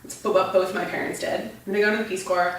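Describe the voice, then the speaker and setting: A woman's voice: medium pitch, rapid-fire. A woman in her thirties, a beverage entrepreneur, telling stories as a guest in a casual interview, with frequent restarts. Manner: animated storyteller, frequent restarts. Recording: echoey room, mic at a distance.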